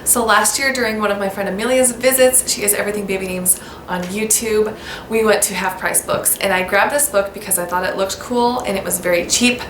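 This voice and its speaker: A woman's voice: mid-range voice, steady tone, fairly quick, fairly bright tone. Upbeat American woman vlogger, echoey room. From United States. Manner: upbeat, gushing, spells words out letter by letter. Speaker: baby-name vlogger. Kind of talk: casual talk to camera. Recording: echoey room with background noise.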